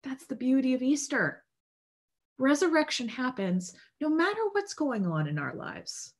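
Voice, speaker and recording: A woman's voice: high voice, very animated, unhurried. A woman in her thirties, a priest, preaching a sermon from home over a video call in a casual, earnest way, with lots of fillers and restarts. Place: home room with bookshelves. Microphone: video call webcam.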